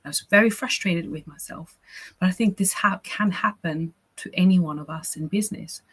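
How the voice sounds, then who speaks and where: A woman's voice: fairly low pitch, moderate pace, a bit bright, husky. A woman from Austria, a business coach teaching a live workshop in a chatty, encouraging way, often checking in with "right?" and "you know".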